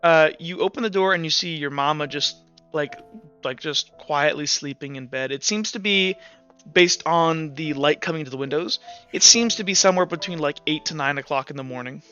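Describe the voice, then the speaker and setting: Bright crisp guy running a tabletop game, rambly, slightly higher voice, dull lo-fi audio. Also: moderate pace, soft background music. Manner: rambling, full of fillers. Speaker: game master. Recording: dull lo-fi recording.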